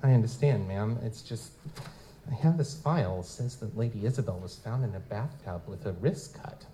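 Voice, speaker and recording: A man's voice: fairly low pitch, normal speed, mellow, natural voice. A man playing a wry, wisecracking goblin private eye in a hard-boiled noir audio drama. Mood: polite, probing. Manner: wry, feigns hesitant politeness. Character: wisecracking male lutin goblin private investigator, narrating noir-style. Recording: noisy live stage recording.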